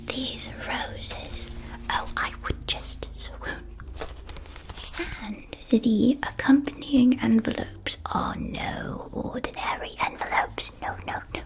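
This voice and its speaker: A woman playing a doting, persuasive magical shopkeeper in an ASMR roleplay, speaking in a soft, breathy, gushing whisper. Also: on the high side, low-quality recording. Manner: slow, gushing, doting sales patter. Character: female magical stationery shopkeeper, doting, persuasive, theatrically enthusiastic.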